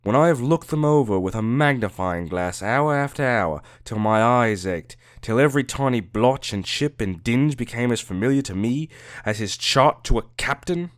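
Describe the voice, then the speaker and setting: A man voicing a proud, quick-tempered Egyptologist in a dramatic audiobook, firing emphatic, scornful questions. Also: mid-range voice, animated, no echo, studio quality, voice pushed up, close to an everyday voice. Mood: indignant, scornful.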